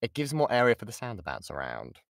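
A British man with a fairly low voice teaches informally into a close, dry mic. His pitch moves around a lot as he chats, jokes and breaks off mid-thought. It feels like a voice actor casually sharing tricks of the trade. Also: wildly swooping pitch, fast talker, vocal fry, no echo. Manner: chatty, jokey, self-interrupting. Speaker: voice actor giving a talk on creature voices. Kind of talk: informal lesson with audience questions. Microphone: close mic on an online voice call.

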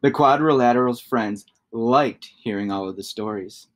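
Male storybook reader, thirties, middle register, expressive read-aloud for young listeners. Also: medium pitch, moderate pace, slight background noise.

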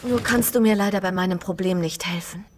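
A woman playing an earnest, sighing aunt in an animated film dub. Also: mid-range voice, brisk, natural voice. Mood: worried, resigned. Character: earnest aunt running a struggling family riding academy.

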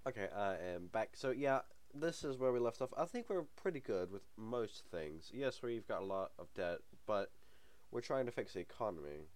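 A young man with a cold talks over a game in a low, deep voice, sniffling as he goes. He rambles, hesitates with plenty of ums, and chuckles to himself now and then. It sounds like an easygoing home let's-play. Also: animated, moderate pace, few pauses. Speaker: gaming channel host. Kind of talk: casual let's-play commentary.

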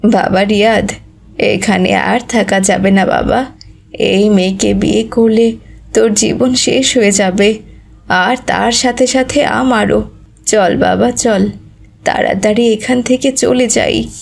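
A woman's voice: mid-range voice, fairly even intonation, slow pace, gravelly. A woman voicing an aging, plain-spoken mother of grown children in a moral cartoon. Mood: dismayed. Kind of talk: Bangla moral cartoon voice acting.

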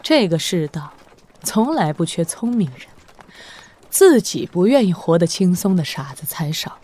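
An actress playing a shrewd, worldly, imperious woman sovereign in a martial-arts audio drama, with deliberate, sardonic delivery. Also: lowish voice, very animated, mellow. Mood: wistful, reproving. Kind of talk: Chinese wuxia audio drama acting.

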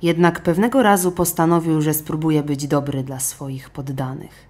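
A woman's voice: low-pitched, fairly even intonation, normal speed, darkish tone. A woman reading a scripted children's story as an audiobook narrator.

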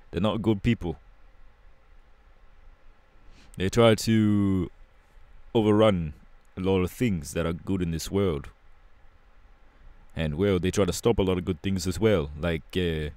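A low-pitched, cocky young punk hero from an audio roleplay, acted by a man, talks in a London accent. He is slangy, irreverent and laid-back, feeling his way through sentences. The sound is close and dry. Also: no room sound. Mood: defiant. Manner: casual, slangy, halting, irreverent.

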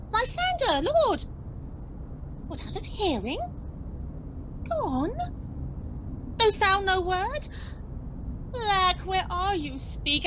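A frightened young noblewoman played by a woman speaks extremely high and slowly. It is theatrical Shakespearean radio acting, with clear night noise around her. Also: heavily acted. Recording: noisy night ambience with insects.

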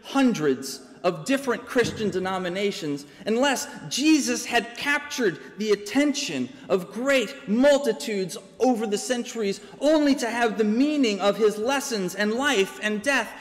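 A young American man, a pastor, preaching a sermon from a pulpit with measured, deliberate pauses. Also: high-pitched, lively intonation. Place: large church sanctuary, preaching from a pulpit. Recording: long church reverb.

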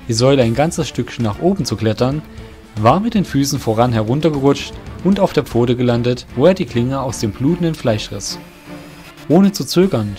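A man's voice: mid-range voice, mellow. A man narrating a scripted fantasy audio drama in a literary storytelling manner.

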